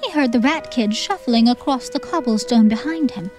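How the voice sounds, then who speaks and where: A woman's voice: a little high, moderate pace, a little mellow, faint music behind. A woman reading scripted fantasy storybook narration as an audiobook narrator.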